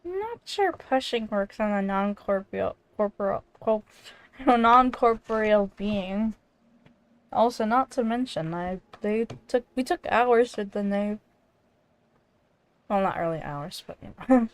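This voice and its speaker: A woman chatting casually and haltingly as she reacts to a video game. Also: mid-range voice, expressive, smooth, poor audio. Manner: giggly, halting. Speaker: game streamer. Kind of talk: casual gameplay reaction chat.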